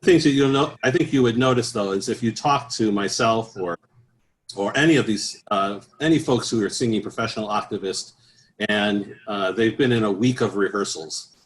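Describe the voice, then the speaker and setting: A man with a fairly low speaking voice talks in an easygoing, halting way. He restarts often and fills pauses with soft sounds while he explains things. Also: poor audio. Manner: easygoing, many restarts and "uh"s, chuckles at his own stories. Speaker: choral bass singer, guest. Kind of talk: relaxed interview answers.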